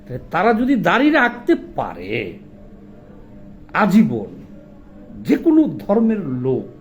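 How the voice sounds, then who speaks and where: A man's voice: high-pitched, huge pitch swings, unhurried, highs cut off, slight background noise. A man with a Bangladeshi accent giving informal video commentary, indignant and argumentative, posing rhetorical questions in colloquial speech. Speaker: social commentator.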